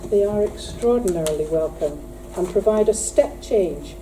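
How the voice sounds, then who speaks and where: A middle-aged woman with a loud, projecting voice gives a formal welcome speech at a conference, earnest and emphatic, with dry humorous asides. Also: medium pitch, lively intonation, moderate pace. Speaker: conference speaker opening the event. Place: conference hall. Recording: distant mic in a hall with faint hum.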